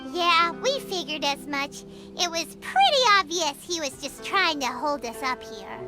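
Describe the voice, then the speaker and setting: A woman voicing a tiny, excitable fairy-like companion in a video game, who talks about herself in the third person in a very high, childlike voice. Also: unhurried. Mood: knowing. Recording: game soundtrack music behind.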